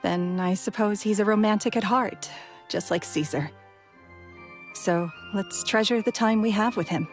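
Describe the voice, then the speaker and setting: A woman playing a widow who remembers her late husband in a gentle, wistful, soft-spoken way, over faint game music. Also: medium pitch, a little slow, lightly acted. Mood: tender.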